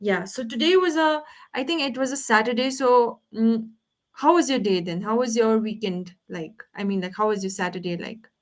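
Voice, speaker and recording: A woman's voice: a little high, moderate pace. A woman tutoring English on a video call, patiently correcting pronunciation and asking the learner to repeat words. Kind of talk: online one-on-one English lesson.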